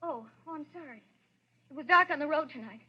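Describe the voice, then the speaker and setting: An actress as a high-voiced, jittery, frightened young woman. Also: a little fast, lightly acted. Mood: nervous, evasive. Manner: anxious, pleading. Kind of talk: 1940s radio thriller acting. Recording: dull, lo-fi vintage radio recording.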